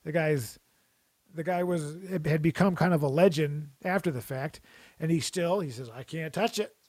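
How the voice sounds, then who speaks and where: A man with a somewhat high voice rambles through jokey stories in casual chat, often restarting his sentences. The sound is close and dry, with no room around it. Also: normal speed, a little mellow, no room sound.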